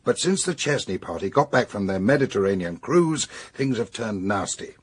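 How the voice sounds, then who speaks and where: An actor playing a brisk, businesslike British police superintendent giving matter-of-fact briefings in a radio drama. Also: male voice, on the low side, expressive, husky.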